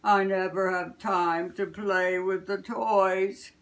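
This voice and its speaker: A busy old Santa Claus from a children's storybook, acted out by a woman. He speaks slowly and heartily, jolly at heart but a little wistful. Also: mid-range voice, steady tone, a bit bright. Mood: wistful, weary.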